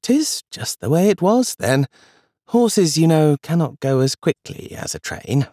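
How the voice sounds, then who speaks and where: A man's voice: fairly high pitch, sing-song, measured pace, no echo, studio quality, pitched above the performer's own voice. Man doing an old grandmother, lilting and fond, storybook. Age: older. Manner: gentle, amused. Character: kind, teasing grandmother, gently witty.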